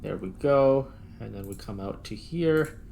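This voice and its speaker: A man's voice: medium pitch, expressive, a little slow, vocal fry, dark tone, a little hiss or hum. A man giving casual gameplay commentary, thinking aloud as he plays. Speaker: gaming video host.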